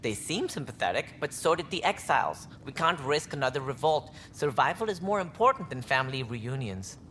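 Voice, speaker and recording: Game bureaucrat: cautious colony director, cold and officious, with wide intonation. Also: male voice, mid-range voice, very animated, brisk, fairly bright tone, a little hiss or hum. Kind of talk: video game cutscene acting. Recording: faint background ambience.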